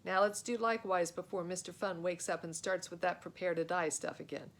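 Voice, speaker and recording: A woman's voice: fairly low pitch, steady tone, fairly quick, pitched below the performer's own voice, natural voice. A woman voicing a wisecracking boy in a storybook adventure, flippant and sarcastic.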